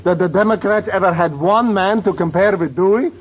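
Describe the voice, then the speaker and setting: An actor playing an excitable, sarcastic old European professor in a 1940s radio comedy. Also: male voice, high voice, fairly quick, lightly acted. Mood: indignant. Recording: muffled, very noisy old radio recording.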